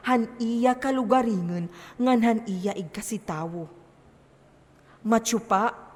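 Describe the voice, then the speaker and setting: A woman's voice: a little high, lightly acted. A woman with an Eastern Visayan Filipino accent acting a preachy, commanding moral adviser in an amateur stage play, emphatic and lecturing. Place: theatre stage in a hall. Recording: long echo of a hall.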